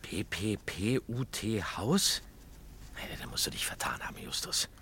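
An actor playing a young detective, an eager but sceptical sidekick in an audio drama. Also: male voice, lowish voice, sing-song, measured pace, pushed and bright, slight background noise, heavily acted. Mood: eager, doubtful.

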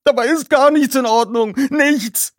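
Man voicing an anxious young boy, thin high voice. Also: no echo, studio quality. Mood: upset. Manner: halting, upset. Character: anxious, sad young boy, shaken and hesitant.

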